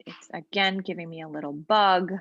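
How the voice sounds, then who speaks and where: A woman trainer patiently walking a practice client through online software during a role-play interview. She explains each step and often pauses with ums and short asides. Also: fairly low pitch, steady tone, no room sound, muffled recording. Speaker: legal aid trainer. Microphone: video call.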